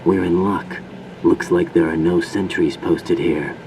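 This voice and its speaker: Deep, distant-sounding covert field agent. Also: male voice, fairly even intonation, unhurried, vocal fry. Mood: terse, alert. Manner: terse, matter-of-fact. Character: cool, confident male special forces infiltrator. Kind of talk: radio report, video game acting. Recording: distant, heavy humming ambient noise.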